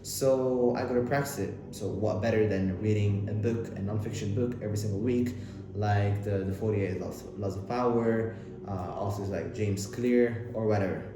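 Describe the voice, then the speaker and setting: A young man from Lebanon whose first language is Arabic, giving casual self-improvement advice to the camera, talking fast with many restarts and fillers. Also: on the low side, steady tone. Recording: very echoey room with clear background noise.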